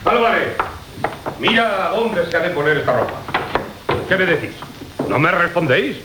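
An actor with a Castilian accent playing a blustering, hot-tempered jilted suitor in a classical radio comedy, bullying and exclamatory. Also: male voice, high voice, lively intonation, normal speed. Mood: angry, blustering. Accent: Castilian Spanish. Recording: hissy 1980 radio broadcast recording.

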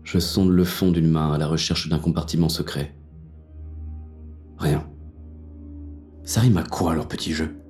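An actor playing a hard-boiled, bitter police inspector who narrates a thriller in terse, intense first person. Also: male voice, a bass voice, steady tone, measured pace, pauses often, darkish tone, soft background music. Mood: tense, wary. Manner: gritty, terse, intense delivery.

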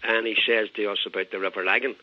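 A man with a Northern Irish accent calling in over a dull, muffled phone line. He talks quickly and easily in a relaxed local manner, keeping his voice low. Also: dry recording. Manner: chatty, easygoing local talk. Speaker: phone-in caller.

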